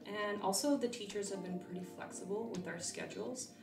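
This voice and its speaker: A young woman in her twenties, a vet student from California whose first language was Russian, chatting casually in an interview with relaxed fillers and restarts. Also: mid-range voice, brisk, creaky, reverberant, distant mic, music in the background. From California, USA.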